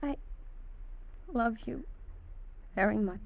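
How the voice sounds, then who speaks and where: An actress playing a timid, evasive young wife, meek and hesitant, in vintage American radio drama style. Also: female voice, a little high, takes it slowly, creaky. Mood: nervous submission. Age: young adult. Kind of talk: 1940s-50s American radio drama acting. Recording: dull vintage radio recording.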